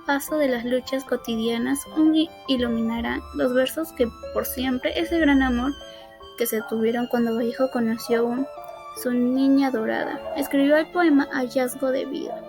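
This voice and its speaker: A woman narrates a scripted biography in a student radio drama. Her voice is notably high and her reading stumbles here and there. Music plays clearly behind her. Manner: halting read-aloud delivery with stumbles.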